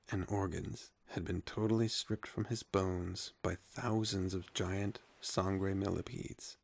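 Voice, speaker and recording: Deep, creaky, even-toned male narrator. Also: no echo. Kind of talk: scripted sci-fi story narration.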